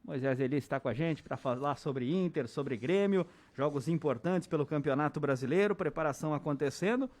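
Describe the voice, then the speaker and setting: A man hosting a radio sports program and briskly introducing a guest. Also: fairly high pitch, a little mellow. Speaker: show host.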